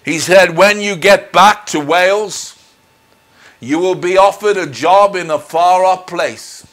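A middle-aged man preaches his personal testimony in a fairly high voice for a man. He speaks very slowly and deliberately, with earnest, measured phrasing. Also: a bit bright. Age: middle-aged or older. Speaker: minister. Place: indoors in front of a dark curtain. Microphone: lapel mic.

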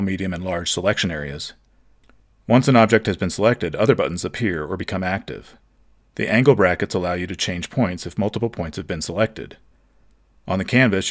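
A man giving a steady, methodical, scripted narration for a software tutorial. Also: sits low.

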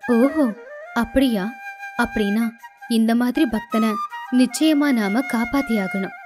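Lady doing a soft gentle goddess, highish voice, flute music. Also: a little slow, lightly acted. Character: gracious divine goddess wife, gentle and curious. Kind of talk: animated storybook voice acting.

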